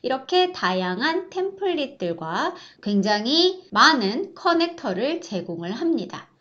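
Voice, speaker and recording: A woman with a high voice and very animated intonation narrates an online course. She explains things politely, step by step, as an instructor. The recording is a little dull and lo-fi. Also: a little slow.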